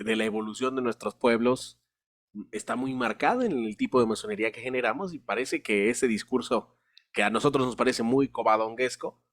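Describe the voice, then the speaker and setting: A man's voice: mid-range voice, a bit bright. A Mexican man moderating a casual podcast panel, reflective and steering the talk between topics.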